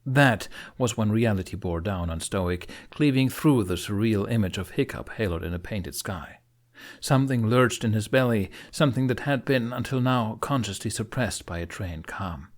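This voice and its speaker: A man's voice: on the low side, lively intonation, moderate pace. A man narrating an audiobook as dramatic, scripted storytelling.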